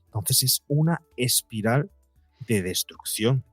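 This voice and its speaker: A man's voice: mid-range voice, lively intonation, slow, fairly bright tone, slightly rough, no echo, faint music behind. A man from explains as an interview guest, didactically, posing rhetorical questions and speaking conversationally. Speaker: psychologist and podcaster, interview guest.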